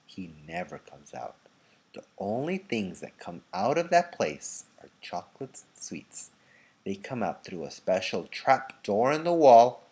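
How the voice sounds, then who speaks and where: Man playing a hushed, mysterious old grandpa. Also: lowish voice, slightly rough, heavily acted, poor audio. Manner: soft, hushed, slow and deliberate. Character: bony old grandfather, mysterious, gentle storyteller.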